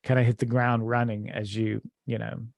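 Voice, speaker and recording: A man's voice: mid-range voice, flat delivery, normal speed, vocal fry, no echo. A man hosting a casual podcast interview, rambling with lots of fillers and restarts. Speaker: podcast host.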